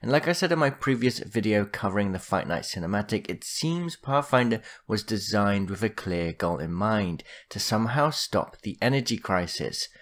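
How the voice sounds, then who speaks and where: A man's voice: on the low side, lively intonation, moderate pace, hardly any pauses, fairly bright tone. A man hosting a gaming video, narrating a lore explainer from a script.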